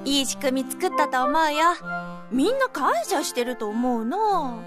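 A woman playing an easygoing, gently teasing young male colleague in a radio drama, with a soft, casual manner. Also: high voice, lively intonation, a little slow. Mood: warm, reassuring. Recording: music under the voice.

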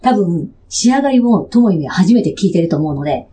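A woman's voice: fairly low pitch, animated, a little fast, warm tone, slight background noise. A woman hosting a radio show in a chatty, playful and giggly way.

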